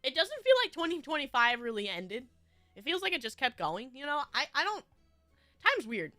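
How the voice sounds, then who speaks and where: Stuffy-nosed woman streamer, high and lively, giggly rambling chat. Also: moderate pace, no room sound, faint music behind. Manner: bubbly, rambling, frequent giggles. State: stuffy nose. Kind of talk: casual chat with viewers.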